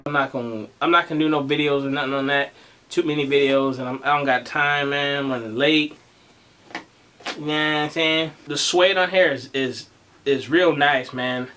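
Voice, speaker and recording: A man, slightly raspy and under the weather with a cough, hosting a casual sneaker unboxing vlog in a slangy, laid-back way. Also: on the high side, steady tone, normal speed, pushed and bright, faint music behind. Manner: slangy, laid-back, addresses viewers as y'all. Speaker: sneaker vlogger.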